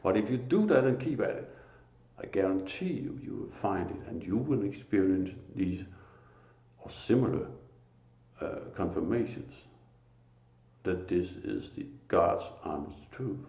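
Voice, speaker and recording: A man with a Danish accent speaks slowly and earnestly about faith, pausing often between phrases. His voice is very low, gravelly and mellow. The recording is echoey, as in a bare room. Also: animated. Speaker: lay preacher. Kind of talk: informal spiritual talk.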